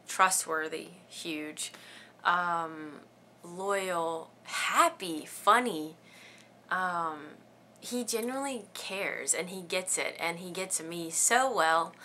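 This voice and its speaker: Chatty bright-voiced woman in her thirties. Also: lowish voice, slight background noise. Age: 30s. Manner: chatty, giggly, rambling with many ums. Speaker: beauty vlogger. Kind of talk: casual chat answering tag questions.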